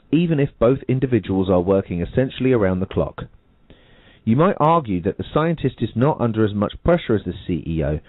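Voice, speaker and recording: A man reading a nonfiction book aloud on a radio show, in a measured, emphatic way that lifts on key points. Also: sits low, dry recording. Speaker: audiobook reader. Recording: tinny phone-like radio broadcast.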